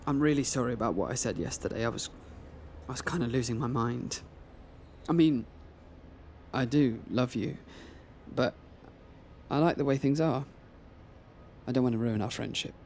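An actor playing an apologetic, joking, protective male friend in a naturalistic audio drama, speaking in a halting, conversational way. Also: medium pitch, a little mellow, slight background noise, lightly acted. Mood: apologetic, sheepish.

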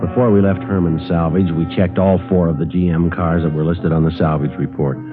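An American man playing a terse, methodical police sergeant in a 1950s radio drama, narrating and questioning in a clipped, deadpan way. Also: low-pitched, a little fast, narrow, tinny audio, lightly acted. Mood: businesslike. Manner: deadpan, matter-of-fact. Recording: old band-limited radio broadcast, music behind.

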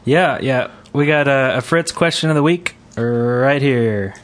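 A man's voice: mid-range voice, measured pace. A man co-hosting a gaming podcast in casual chat, agreeable and thinking aloud as he goes. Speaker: podcast co-host.

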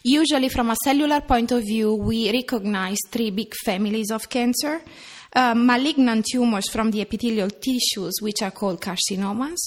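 A woman's voice: fairly high pitch, normal speed. A woman with a strong Italian accent, a clinician explaining things carefully in a podcast interview, with some restarts. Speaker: veterinary oncology clinician.

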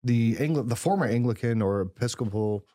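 A man's voice: mid-range voice, a little fast, warm tone. A man co-hosting a podcast, casual and jokey, steering the talk with leading questions. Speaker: podcast co-host. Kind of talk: casual chat.

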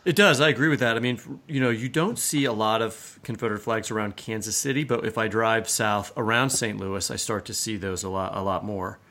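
An American man interviewing as a podcast host, conversational, with halting, hesitant phrasing. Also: on the low side, a bit bright.

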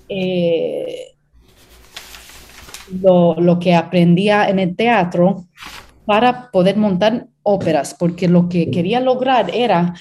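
A woman opera stage director raised in Pennsylvania, answering interview questions thoughtfully with many pauses and restarts. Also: fairly low pitch, mellow. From Pennsylvania, USA.

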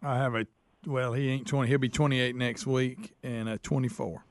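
Male sidekick in his fifties, medium voice, dry studio, quick jabs. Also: mid-range voice, fairly even intonation, no echo. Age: 50s. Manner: quick interjecting, joking. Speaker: radio show regular. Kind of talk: casual radio banter.

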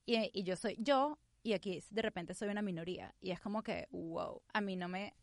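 A young adult woman with a Venezuelan accent, a guest telling stories in an animated way in a casual interview, dropping English phrases in now and then. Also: mid-range voice, no echo, studio quality. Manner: animated, mixes English phrases, quick storytelling. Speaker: guest, actress and comedian.

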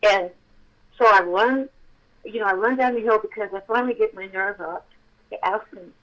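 An actress with a childlike voice telling a personal story with feeling, at times close to shouting. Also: female voice, medium pitch, moderate pace, highs cut off. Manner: animated, swells to near shouting at intense moments. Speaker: actress telling her testimony.

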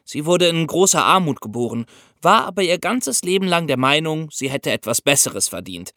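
High-voiced, animated male history podcast host. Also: normal speed, dry recording, studio quality. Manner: explanatory, addresses listeners directly. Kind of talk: scripted storytelling for a history podcast.

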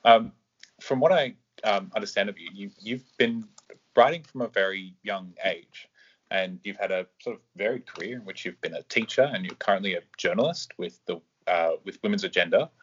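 A man's voice: on the low side, normal speed, fairly bright tone, slightly rough, lo-fi sound. A man co-hosting a podcast, asking questions hesitantly with many ums and restarts. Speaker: podcast co-host. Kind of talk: interview questions.

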